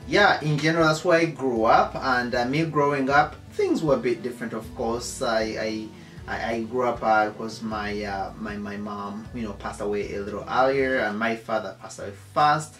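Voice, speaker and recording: A man in his thirties from Uganda with a Ugandan accent, chatting casually on a couch at home and pausing often with fillers and restarts. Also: medium pitch, a bit bright, echoey room, soft background music. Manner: halting, many fillers and restarts. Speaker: co-host, husband. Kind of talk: casual chat. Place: living room, seated on a couch.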